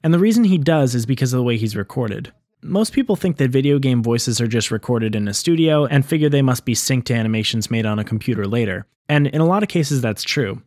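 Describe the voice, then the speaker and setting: A man hosting an explainer video, reading scripted narration in a clear teaching structure. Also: mid-range voice, animated, fast talker, darkish tone, dry recording, studio quality. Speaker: video essay host on game sound design.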